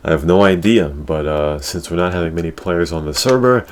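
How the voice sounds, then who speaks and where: A man's voice: deep voice, a little fast. A man hosting a casual gaming talk show, talking in a crude, slangy way and repeating his catchphrases. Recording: clear background noise.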